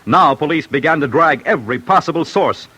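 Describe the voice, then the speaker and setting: A man's voice: fairly high pitch, expressive, a little fast, bright, forceful tone, husky, dry recording. A man narrating a scripted 1940s radio crime series in an urgent, punchy, dramatic manner. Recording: old radio broadcast over music.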